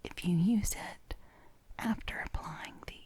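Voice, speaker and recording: A woman whispering gently and haltingly in a soft-spoken ASMR personal-attention roleplay, playing an attentive makeup artist. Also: medium pitch. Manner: gentle, hushed, unhurried, halting with soft pauses.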